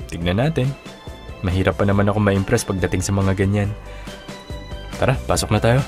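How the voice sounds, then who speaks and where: A man's voice: lowish voice, fairly quick, close to an everyday voice. A man playing a modest, easygoing male college student leader in a radio drama, reserved and lightly teasing. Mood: dry, teasing. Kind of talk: Filipino radio drama acting. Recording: roomy echo, music underneath.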